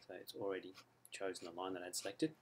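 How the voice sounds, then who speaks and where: An Australian man explaining a computer design program step by step in a relaxed tutorial, with frequent ums. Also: sits low, quick pace, noisy background. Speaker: software author demonstrating his add-in. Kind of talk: screen-recorded software tutorial. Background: mouse clicks and keyboard typing.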